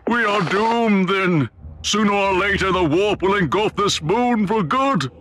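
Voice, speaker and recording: A man's voice: sits high, measured pace, fairly bright tone. A man playing a solemn, fervent religious zealot in a video game, speaking in portentous prophecies over music. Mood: alarmed, fervent. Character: fervent doom-prophesying male zealot brother guarding sacred machines. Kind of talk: dramatic video game acting.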